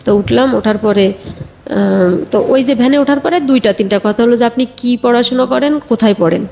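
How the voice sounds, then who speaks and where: A Bangladeshi woman telling her own life story conversationally, a little haltingly, quoting remembered exchanges. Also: a little high, vocal fry, darkish tone. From Bagerhat area, Bangladesh.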